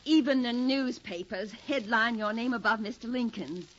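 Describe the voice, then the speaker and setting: A woman's voice: on the high side, normal speed, natural voice. An actress playing an anxious, imperious First Lady in a 1950s radio drama, speaking formally and theatrically. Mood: insistent. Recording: dull, husky-sounding 1950s radio recording.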